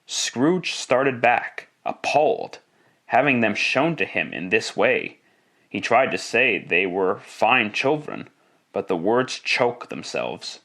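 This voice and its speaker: A man narrating a scripted story in the style of classic radio, with theatrical, deliberate phrasing. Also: mid-range voice, very animated, creaky, bright, forceful tone.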